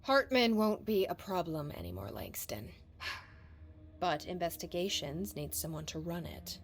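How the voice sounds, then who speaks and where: An actress playing a composed, determined woman investigator in a video game, speaking in a measured, terse, businesslike way. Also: on the low side, sing-song, gravelly, heavily acted. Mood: cool, businesslike. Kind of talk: scripted video game dialogue.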